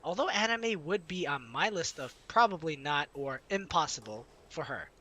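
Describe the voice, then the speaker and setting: A man's voice: a little high, lively intonation, moderate pace, sharp tone. A man hosting a let's play, reading a visual novel aloud with casual asides and a giggly manner. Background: game music.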